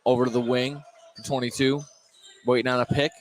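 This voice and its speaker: A man doing live play-by-play basketball commentary, a little halting, often repeating and correcting himself. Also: medium pitch, little pitch movement, slight background noise, poor audio. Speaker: play-by-play announcer. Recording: clear gym ambience, bouncing basketballs. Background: basketball game in a gym.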